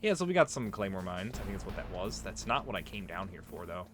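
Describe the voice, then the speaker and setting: A man's voice: low voice, very animated, quick pace, over music. A man giving casual, rambling gameplay commentary, explaining things as he plays and swearing freely. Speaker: let's play host.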